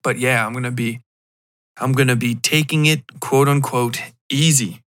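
A man's voice: mid-range voice, steady tone, moderate pace, a bit bright. A man hosting a solo podcast in a casual, rambling and self-deprecating way, often wandering off on digressions. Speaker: podcast host.

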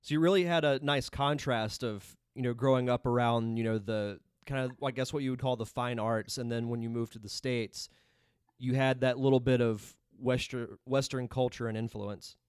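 Smooth-voiced podcast host man, dry sound. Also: medium pitch, moderate pace, dry recording. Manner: relaxed, with frequent fillers. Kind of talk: casual interview chat.

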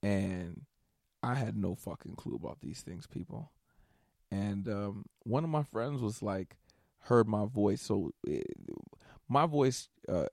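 A man's voice: fairly low pitch, creaky, dry recording, clean studio sound. A young man hosting a solo podcast, rambling casually and swearing, tired from staying up all night. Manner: rambling, profane, animated asides. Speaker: podcast host.

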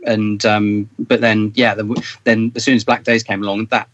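A man's voice: lowish voice, level tone, moderate pace, a bit bright, no room sound, lo-fi sound. A British man chatting casually as a band member in an interview, rambling and thinking aloud as he goes.

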